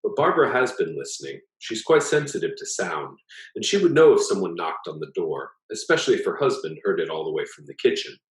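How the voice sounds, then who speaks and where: Deep-voiced man reading a story, roomy sound. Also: big pitch swings, a little fast. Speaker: author reading own short story.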